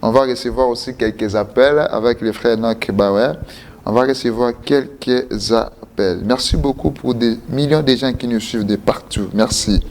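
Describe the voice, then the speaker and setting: Man, from DR Congo, live show host, lively animated delivery, light background noise. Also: medium pitch, normal speed. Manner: animated, mixes French and Lingala. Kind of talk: live call-in interview.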